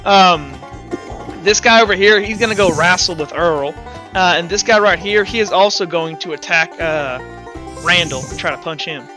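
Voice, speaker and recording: A man's voice: high voice, moderate pace. A man narrating a tabletop roleplaying game as game master, casual, slangy and improvising as he goes, with music playing.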